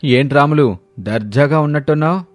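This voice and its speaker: A man plays a demanding village moneylender in a storybook tale, loud and threatening, his voice pushed high. The words come fast and hard, with soft music underneath. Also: no echo, natural voice.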